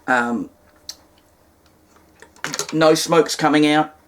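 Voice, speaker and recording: A man's voice: a little high, fairly even intonation, drawn-out words, fairly bright tone, slight background noise. A man with a New Zealand accent giving a casual, unscripted hands-on demonstration at home, hesitant, folksy and wry. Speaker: hobbyist presenter demonstrating vintage radios. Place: indoors in a cluttered home room.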